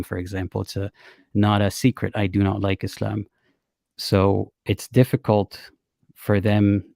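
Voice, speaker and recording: A man from the Middle East, a native Arabic speaker, hosting a casual call-in livestream in a calm, even-tempered way. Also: lowish voice, dry recording, studio quality. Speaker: livestream host.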